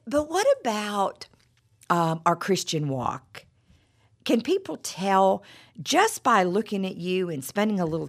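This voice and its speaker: Middle-aged woman, devotional speaker, lowish pitch, hoarse from a cold, gravelly texture, conversational with stumbling repeats. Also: fairly bright tone. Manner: conversational, with frequent stammered repeats and "you know". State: froggy voice from a cold. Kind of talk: devotional talk with personal stories.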